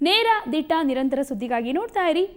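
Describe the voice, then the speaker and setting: A woman news presenter speaks in a high voice. Her delivery is scripted and addressed to viewers, with very lively pitch movement. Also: fast.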